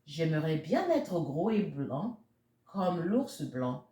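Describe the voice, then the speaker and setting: A woman voicing a small, wistful picture-book chameleon in a wishful, drawn-out way. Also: low-pitched, sing-song, warm tone, voice pushed down, heavily acted. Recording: roomy, echoey sound.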